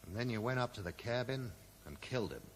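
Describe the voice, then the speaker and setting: An actor playing a dry, sarcastic amateur sleuth who probes and accuses in a 1980s radio drama. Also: male voice, mid-range voice, expressive, fast talker, lightly acted. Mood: accusing, resolute. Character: sardonic, probing male amateur sleuth confronting an old friend. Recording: echoey, noisy old radio broadcast recording.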